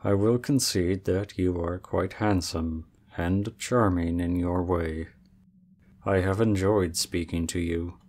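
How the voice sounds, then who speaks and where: Guy as aloof dragon man, deep voice, takes his time, stiff formal talk, asmr roleplay. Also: steady tone, a little mellow, full character voice. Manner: formal, measured, cool and reserved. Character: proud, aloof male dragon in human form.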